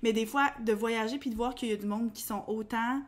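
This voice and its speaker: Young woman, Québécois accent, high voice, relaxed talk full of fillers. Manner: chatty, quick, colloquial, many fillers. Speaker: podcast co-host. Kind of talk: casual chat.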